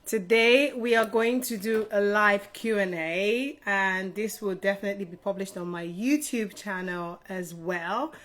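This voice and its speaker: A woman's voice: medium pitch, measured pace, few pauses, a bit bright, slight background noise. A middle-aged woman from Nigeria hosting an informal live Q&A, chatting directly to her viewers as a career adviser. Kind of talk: casual live stream Q&A chat to viewers.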